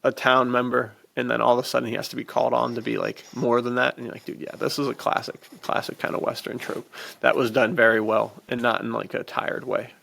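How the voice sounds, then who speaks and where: A man's voice: mid-range voice, flat delivery, a little fast, vocal fry, a bit bright. A man co-hosting a podcast, chatting casually about books with laid-back enthusiasm and plenty of "dude". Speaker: podcast co-host. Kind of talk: casual book chat.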